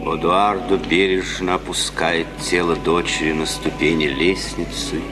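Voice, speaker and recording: A deep-voiced male narrator speaks over loud music in an old radio recording. He is solemn and measured, delivering the scripted narration of a classical tragedy gravely. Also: little pitch movement. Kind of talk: solemn scripted narration of stage directions in a 1950s radio play.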